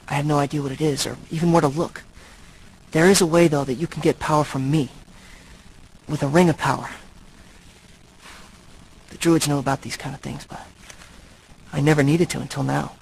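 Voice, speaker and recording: Brooding, gravelly old-souled vampire. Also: male voice, fairly high pitch, fairly even intonation, normal speed, pauses often, a little hiss or hum, poor audio. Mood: earnest, explaining. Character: brooding centuries-old male vampire, tormented and noble. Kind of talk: earnest video game voice acting.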